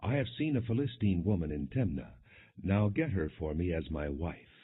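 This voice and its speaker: A man's voice: sits low, lively intonation, normal speed, breathy edge. A man voicing a bold, stubborn young strongman with a blunt manner, in a scripture reading on slightly muffled audio. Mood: blunt demanding.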